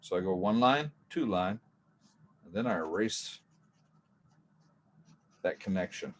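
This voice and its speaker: A man teaching a drawing class in a relaxed, chatty way, talking through each step and adding jokey asides. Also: deep voice, slow, a little hiss or hum. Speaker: art teacher. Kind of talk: casual drawing lesson.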